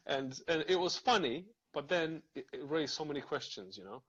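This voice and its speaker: A man's voice: on the high side, lively intonation, a little slow, fairly bright tone, breathy edge, highs cut off. A man from Serbia, talking things through thoughtfully in a casual live video chat, with many fillers and restarts.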